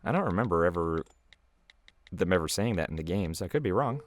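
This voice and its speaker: A man's voice: deep voice, animated, brisk. A man with an American accent giving casual, rambling, self-deprecating commentary on his own video. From United States. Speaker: creator and voice actor commentating.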